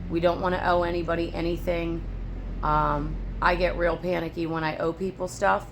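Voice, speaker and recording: A woman chatting casually to camera about her homestead, her voice raspy from allergies, in a rambling, down-to-earth way. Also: lowish voice, flat delivery, normal speed, background noise. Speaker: homesteading vlogger. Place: at home on a rural homestead. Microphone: phone camera, later on a tripod.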